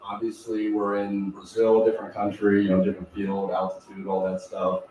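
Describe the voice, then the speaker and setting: A man, a football kicker, calmly answering a press question about nerves. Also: fairly low pitch, moderate pace, slight background noise. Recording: echoey room, far from mic.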